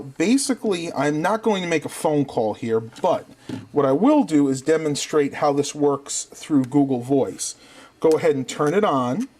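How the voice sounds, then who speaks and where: A man's voice: a little high, darkish tone. A man reviewing a product in a casual hands-on demonstration, explaining things methodically step by step.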